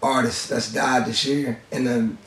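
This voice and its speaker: A man's voice: medium pitch, little pitch movement, measured pace. A man co-hosting a casual podcast chat who is losing his voice, speaking in a somber way that trails off. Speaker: podcast co-host. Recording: roomy sound, mic at a distance.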